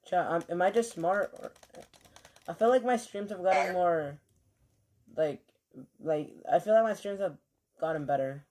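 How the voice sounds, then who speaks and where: A man's voice: sits high, normal speed, pauses often, darkish tone. A man chatting casually to his stream while he plays, rambling with lots of fillers and offhand swearing, keyboard clicks behind him. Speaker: game streamer.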